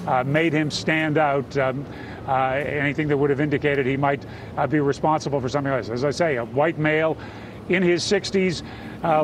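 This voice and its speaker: A man giving a live unscripted news report in a measured, halting way, pausing to pick his words. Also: on the high side, background noise.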